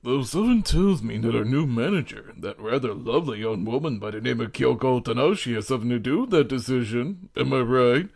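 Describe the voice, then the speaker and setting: A man playing a genial, teasing middle-aged ex-teacher in an amateur audio drama, with a measured, formal way of talking. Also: mid-range voice, animated, vocal fry, darkish tone.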